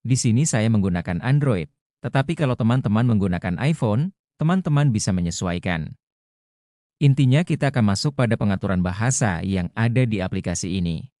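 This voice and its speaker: Low, mellow-voiced man. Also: animated, brisk, no room sound, clean studio sound. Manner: methodical, step-by-step. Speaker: tutorial host. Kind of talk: instructional screen-recording narration.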